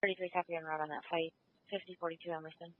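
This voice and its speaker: A male police officer with a high voice fires off terse reports. The radio makes him thin and phone-like, and he talks quickly. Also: level tone. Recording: tinny phone-like scanner radio transmission.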